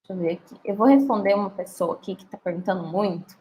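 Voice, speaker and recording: A woman's voice: medium pitch, quick pace, warm tone, rough recording. A woman lawyer, likely in her thirties, answering viewer questions in a chatty, reassuring live session. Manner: chatty and reassuring, often ends with "tá?". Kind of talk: live Q&A, reading comments aloud.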